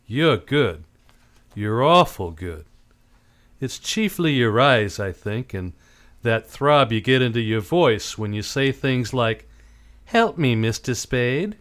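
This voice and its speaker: A man's voice: mid-range voice, big pitch swings, takes it slowly, fairly bright tone. Guy playing a cynical wisecracking detective in old time radio, mid voice that moves around a lot, clipped and wry. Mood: wry, mocking. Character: hard-boiled, cynical, wisecracking male private detective.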